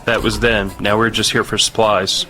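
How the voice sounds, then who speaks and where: A man's voice: on the low side, flat delivery, moderate pace, pushed and bright. A man acting as a weary, understated, terse ex-army major in an audio drama. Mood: guarded. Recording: music bed behind.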